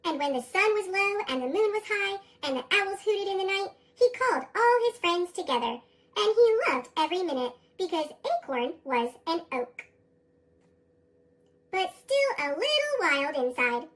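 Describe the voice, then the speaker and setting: Storybook narrator, American, chipmunk effect, extremely high and lively in intonation. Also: moderate pace. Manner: animated, expressive. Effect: sped-up chipmunk voice effect. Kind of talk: scripted children's read-aloud narration.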